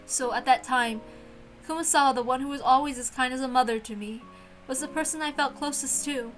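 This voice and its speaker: Lady doing a soft earnest young servant, high voice, visual novel storytelling with music behind. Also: fairly quick, low-quality recording. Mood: wistful, gentle.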